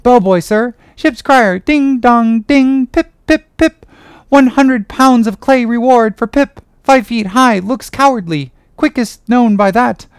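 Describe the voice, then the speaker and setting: Woman as crazed ship's boy, high smooth voice, dry sound, excitable chanting, pitiful, audiobook drama. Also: slow, no echo. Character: crazed, pitiful young ship's boy, sing-song and frantic. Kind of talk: dramatic audiobook character reading.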